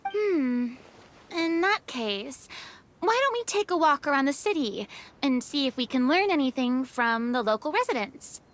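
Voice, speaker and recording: A woman's voice: high voice, big pitch swings, unhurried. An actress playing a polite, bookish young woman adventurer who reasons things out thoughtfully and a little hesitantly. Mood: thoughtful, curious.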